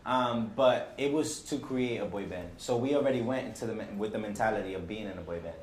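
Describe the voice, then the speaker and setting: Laid-back young man, lowish voice. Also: fast, echoey room, slight background noise. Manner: relaxed, rambling with "you know". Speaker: guest, boy band member. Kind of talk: casual interview.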